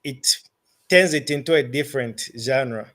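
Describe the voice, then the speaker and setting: A man's voice: medium pitch, a bit bright. A man with a Zambian accent, a musician answering interview questions in an earnest, reflective way. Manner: earnest, reflective, unhurried with pauses. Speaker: musician guest.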